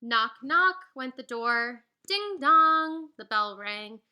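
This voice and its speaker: A woman with a high, very bright voice reads a children's story aloud. She takes her time, giving the rhymes an animated, singsong lilt. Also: slow, a little hiss or hum. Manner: animated, singsong rhyming delivery with teacherly asides. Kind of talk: expressive children's picture book read-aloud.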